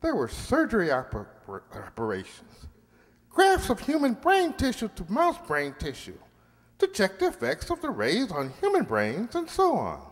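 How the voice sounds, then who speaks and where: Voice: medium pitch, huge pitch swings, moderate pace. A performer voicing a small talking male lab mouse in an amateur radio play, plainspoken, peaceable and matter-of-fact with a wisecracking edge. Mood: dry, insistent. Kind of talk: amateur radio drama acting. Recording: echoey hall-like reverb.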